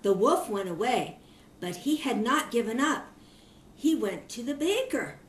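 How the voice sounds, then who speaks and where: An older woman, a grandmother, reading a children's story aloud in an expressive storytelling manner. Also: fairly high pitch, a little slow. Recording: echoey room.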